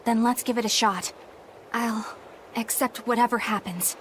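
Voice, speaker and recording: An earnest, fairly high-voiced young heroine. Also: female voice, steady tone, measured pace, a bit bright, slight background noise. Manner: sincere, proper. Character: earnest, principled, resolute young woman. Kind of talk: fantasy game acting.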